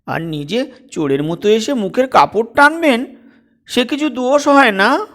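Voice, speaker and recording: A man's voice: very high-pitched, expressive, measured pace, a bit bright, pitched above the performer's own voice, heavily acted. A man voicing a composed yet pert young daughter-in-law in a comic audio story. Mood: indignant.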